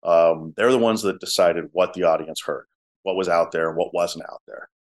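A man with a low voice, a musician telling stories in a casual interview. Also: moderate pace. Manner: talkative storyteller, drops to soft tones. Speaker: musician guest.

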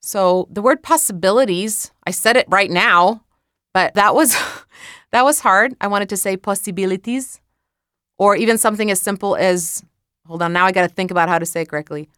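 Lively Croatian-accented woman, smooth voice. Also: lowish voice, no room sound, studio quality. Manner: lively, self-mocking, laughs at her own slips. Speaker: author. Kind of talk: casual talk about recording her audiobook.

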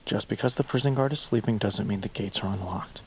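A man's voice: on the low side, brisk, slight background noise, lightly acted. A man acting in an audio drama as a brave, stubborn volunteer, speaking informally and naturalistically. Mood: skeptical, resigned. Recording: music bed behind. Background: music.

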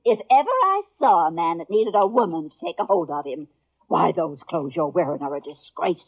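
A woman's voice: on the low side, lively intonation, a little fast, slightly rough. An actress playing a bossy, motherly middle-aged aunt in a Western radio drama, with an American accent and folksy, insistent chatter. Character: bossy, fussing, motherly aunt in the Old West. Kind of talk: 1950s radio drama acting. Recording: muffled 1950s radio recording.

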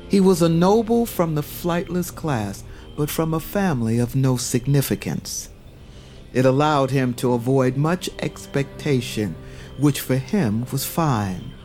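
A man's voice: medium pitch, darkish tone. A man tells a fantasy tale as its narrator, taking his time with every phrase. His delivery is solemn and literary, in the manner of a scripted audio drama, with music faintly underneath.

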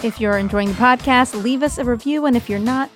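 A woman's voice: fairly high pitch, brisk, soft background music. A woman co-hosting a podcast in casual, comedic conversation. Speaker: podcast co-host.

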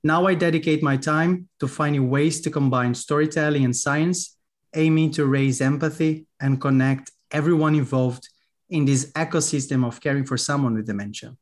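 A man's voice: a little high, fairly even intonation, moderate pace. Brazilian-accented male podcast host. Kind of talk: scripted podcast intro and interview.

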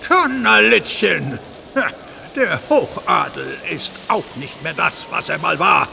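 Casting: proud old steam train for children's radio play, courtly, easily startled, creaky, swooping delivery. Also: male voice, a little high, expressive, slow pace, sharp tone, a little hiss or hum, heavily acted. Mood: proud, blustering. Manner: old-fashioned courtly speech with exclamations. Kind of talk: children's audio drama acting.

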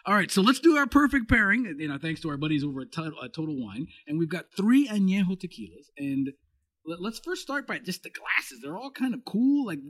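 Lively, animated male podcast host. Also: sits high, fairly quick, no room sound. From Los Angeles. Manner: animated, joking, many restarts. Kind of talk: casual chat.

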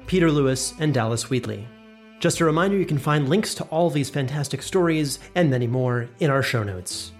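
A man presents as a podcast host. His voice is a little higher than most men's. He reads a scripted introduction with music playing behind him.

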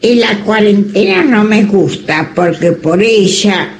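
A woman in her late eighties, giving a slow, wry personal testimony about her days at home. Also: mid-range voice, lively intonation, soft background music. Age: 80s. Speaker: older caller.

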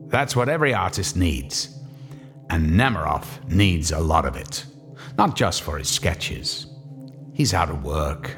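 A man narrating an old-time radio drama in a dramatic, suspenseful storytelling manner. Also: sits low.

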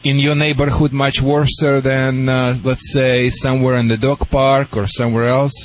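A man's voice: mid-range voice, normal speed. A man with a Russian accent, speaking in broken English as a dog behavior expert taking calls on a radio show. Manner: animated, broken English, laughs easily. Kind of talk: radio call-in advice. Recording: broadcast radio studio.

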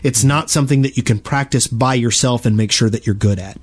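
A man co-hosting a gaming podcast, explaining his points casually and haltingly, often doubling back on his words. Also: mid-range voice, breathy edge. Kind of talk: casual panel discussion about video games.

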